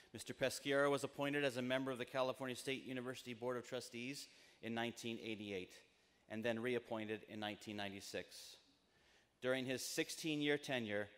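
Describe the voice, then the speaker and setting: A middle-aged American man giving a formal ceremonial speech in measured, emphatic phrases at a podium microphone. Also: on the low side, a bit bright. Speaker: university trustee speaking at commencement. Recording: long reverberant PA in large tent.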